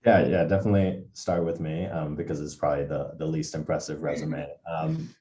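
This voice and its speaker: A man's voice: a bass voice, little pitch movement, fairly quick, mellow, roomy sound. A man speaking on a panel over a video call, with a hesitant manner full of restarts. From England. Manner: hesitant, many restarts and fillers. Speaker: MBA panelist. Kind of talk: casual panel discussion. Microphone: computer mic on a video call.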